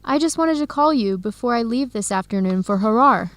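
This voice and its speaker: Earnest young woman, higher-pitched. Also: lively intonation, brisk, fairly bright tone, dry recording. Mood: apologetic, friendly. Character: young Ethiopian-American woman exploring Ethiopia, earnest and hopeful. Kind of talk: educational radio drama dialogue.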